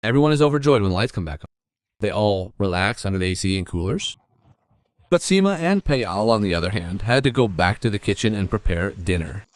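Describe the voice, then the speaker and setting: Male storyteller, low register, scripted narration, close dry recording. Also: animated, brisk, no room sound.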